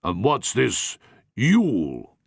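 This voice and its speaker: A man voicing a bluff, blustering older patriarch who speaks in clipped, gruff bursts. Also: fairly low pitch, huge pitch swings, takes it slowly, no echo, clean studio sound, heavily acted.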